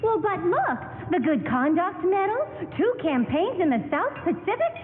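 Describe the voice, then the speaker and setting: Pesky gushing teen daughter in an old radio drama, super high lively voice. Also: female voice, moderate pace, narrow, tinny audio. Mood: nosy. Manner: gushing, pestering. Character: eager, nosy, teasing teenage daughter. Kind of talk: 1940s radio drama acting before a live audience. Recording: echoey hall, faint noise, band-limited radio broadcast.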